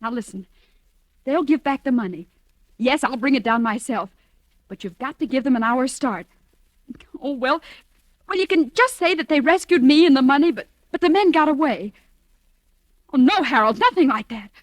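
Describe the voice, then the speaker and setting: High-voiced earnest young heroine. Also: female voice, lively intonation, no echo, poor audio. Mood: anxious pleading. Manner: breathless, emotional, halting delivery. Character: earnest, trusting young bride-to-be turned disillusioned. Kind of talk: 1940s radio drama acting and first-person narration.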